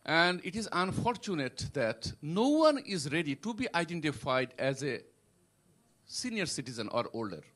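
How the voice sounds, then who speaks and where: A man speaks earnestly from the audience at a conference. His voice sits slightly high. He presses his point with feeling. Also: big pitch swings, moderate pace, a bit bright. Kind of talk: conference floor comment. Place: conference hall.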